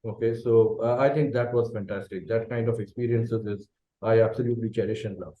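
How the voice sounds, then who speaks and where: A middle-aged man with an Indian English accent and a Bengali mother tongue, talking through his answers in a reflective way. His voice is dark and mellow. It sounds roomy and a little dull, like a remote call. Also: fairly low pitch, steady tone, brisk. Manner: thoughtful, checks in with a frequent "okay". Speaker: guest, company managing director.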